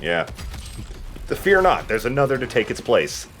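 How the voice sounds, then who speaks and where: A man's voice: medium pitch, wildly swooping pitch, moderate pace, a bit bright. A man giving casual, joking commentary while he plays a video game. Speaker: let's play host playing the game.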